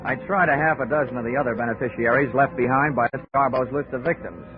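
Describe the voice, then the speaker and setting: Man acting a wry insurance investigator, wisecracking, 1940s radio play, mid pitch. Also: fast, lightly acted. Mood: narrating. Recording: tinny old 1940s radio recording with music.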